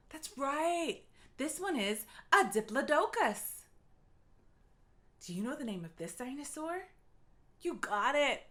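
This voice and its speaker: A woman's voice: high voice, a bit bright. A woman reading aloud for young children in a playful, encouraging way, with short simple phrases and quiz-like prompts. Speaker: children's read-aloud narrator.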